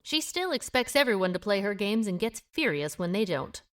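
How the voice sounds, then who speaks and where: A woman's voice: medium pitch, sing-song, moderate pace, close to an everyday voice. A woman playing a guarded, independent small-town amateur sleuth in an audio drama, with a wry, reserved manner. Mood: reluctant, reflective. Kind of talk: audio drama dialogue acting.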